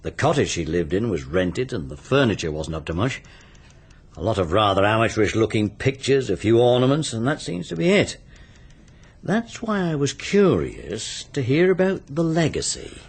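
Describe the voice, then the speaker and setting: Low, lively English investigator. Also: male voice, moderate pace, breathy edge, heavily acted. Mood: dry, speculative. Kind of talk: radio mystery drama acting.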